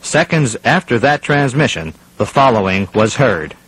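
A man's voice: mid-range voice, sing-song, normal speed, fairly bright tone, slight background noise, poor audio. A man playing a serious radio news anchor, reading a formal scripted crisis bulletin. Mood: grave, formal.

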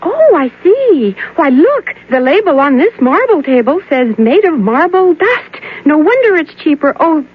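A gushing, cheerful shopper, played by a woman in an old radio ad. Her voice is high and lively. The sound is tinny and phone-like. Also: unhurried, hardly any pauses. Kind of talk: 1970s radio ad acting.

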